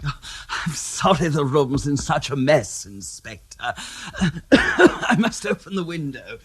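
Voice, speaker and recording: An actor playing a smug, self-important male clairvoyant in a radio drama, with a breathy, hushed voice. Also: on the high side, expressive, slow, no room sound, exaggerated voice. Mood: defensive. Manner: grand and self-important, sliding into hushed menace. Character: smug theatrical male clairvoyant, middle-aged.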